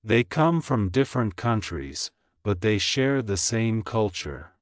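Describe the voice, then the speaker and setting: A man reading English practice sentences aloud, slowly and clearly, for learners to repeat. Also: lowish voice, no room sound, studio quality. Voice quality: plain, even reading of each sentence, repeated. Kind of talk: slow, clear scripted reading for shadowing practice.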